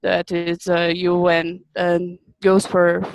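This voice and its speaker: Slow halting woman from Brazil. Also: lowish voice, monotone, vocal fry. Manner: halting, repeats words while searching for them. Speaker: business student presenting a webinar. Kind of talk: webinar presentation.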